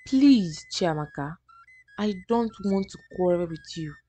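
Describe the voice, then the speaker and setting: A woman's voice: fairly low pitch, expressive, unhurried, dark tone. Woman doing a firm bossy husband in a storybook tale, quarrelsome, music under it. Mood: stern, annoyed. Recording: music behind the voice.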